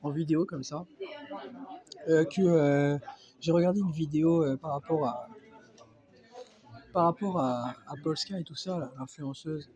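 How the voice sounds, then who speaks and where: A man's voice: fairly high pitch, a little slow, pauses often, mellow. A man with a cold, talking to his phone in a casual, hesitant vlog full of fillers and restarts. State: has a cold. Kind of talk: casual reaction vlog monologue. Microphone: phone not held, off-camera.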